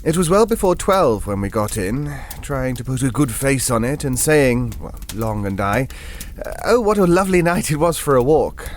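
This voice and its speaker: An actor with a British accent playing a hesitant, reassuring gentleman who tells an eerie tale in an audio drama, halting and conversational. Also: medium pitch, lively intonation, slight background noise, natural voice. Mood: reflective, uneasy. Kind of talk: audio drama ghost story narration.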